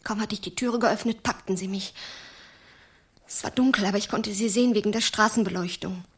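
An actress playing a traumatized, bitter woman confiding in an old friend in a German audio drama, breathy and halting, often slipping toward a whisper. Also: mid-range voice, steady tone, fairly bright tone. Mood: anguished.

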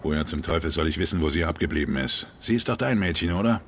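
An actor playing a crooked, foul-mouthed, scornful hustler with a gruff manner in a radio crime drama. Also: male voice, a bass voice, lively intonation, fast talker, noisy background. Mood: scornful, irritated.

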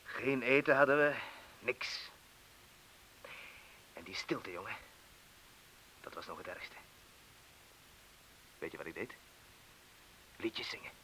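A gruff former mineworker in a radio drama, low and forceful in voice. He is boastful yet fearful underneath, talks in rough colloquial bursts and prods with questions. A faint hiss lies over the old recording. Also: expressive, slow, pauses often, heavily acted, rough recording. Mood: brooding, reminiscing. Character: rough ex-miner, boastful yet fearful, confessing his cowardice. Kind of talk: radio play acting.

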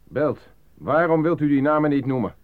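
A cold state interrogator played by a man presses with formal, accusing sternness. His voice sits a little high, and the radio play recording is thin and phone-like. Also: lively intonation, moderate pace, clear, resonant tone, lightly acted. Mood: stern, insistent. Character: cold, insistent male state interrogator, unyielding and threatening. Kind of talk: radio drama acting.

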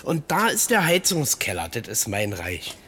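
An actor playing a gruff, bossy but protective caretaker in a radio play, blunt and colloquial. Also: male voice, medium pitch, huge pitch swings, moderate pace, sharp tone, slight background noise. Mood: chatty, instructing. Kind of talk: naturalistic German radio play acting.

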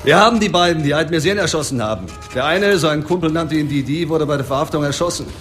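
An actor playing a businesslike police detective in a radio drama, reporting facts in a matter-of-fact manner. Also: male voice, on the high side, fast talker, a bit bright, faint music behind, close to an everyday voice. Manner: factual, businesslike.

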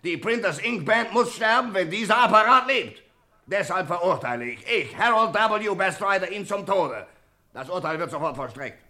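A man's voice: high-pitched, expressive, normal speed, sharp tone, heavily acted. An actor playing a pompous, hot-tempered male gang boss in a theatrical, declamatory 1950s German radio comedy style. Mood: pompous, furious.

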